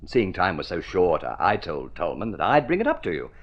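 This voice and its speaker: Awkward lovesick young gentleman, swooping pitch. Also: huge pitch swings, brisk, full character voice. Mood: hesitant. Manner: hesitant, stammering, self-deprecating. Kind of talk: mid-century radio drama acting. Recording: muffled vintage radio recording.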